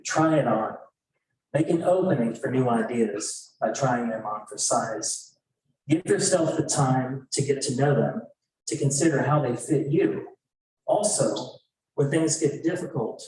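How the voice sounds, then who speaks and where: A man co-facilitating an event, reading prepared discussion guidelines in measured, short phrases. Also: medium pitch, darkish tone, slight background noise. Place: indoor event room. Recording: very echoey room, distant mic.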